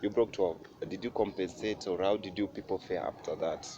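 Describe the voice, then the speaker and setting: A man's voice: deep voice, fairly even intonation, brisk, dark tone. A man with a Kenyan accent hosting a casual interview, chatty and switching between English and Swahili slang. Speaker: show host. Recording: muffled with clear background noise.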